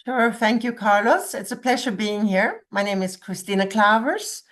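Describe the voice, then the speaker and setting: A woman with a Norwegian accent introduces herself on an online panel. She talks in a friendly way but pauses and restarts now and then while she finds her words. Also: mid-range voice, expressive, a bit bright. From Norway. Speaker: sustainability consultant. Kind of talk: self-introduction on a webinar. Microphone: video call.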